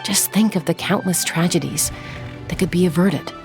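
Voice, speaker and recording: Woman playing a serious warrior gal, lowish cool voice, measured, video game. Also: music in the background, natural voice, low-quality recording. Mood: earnest.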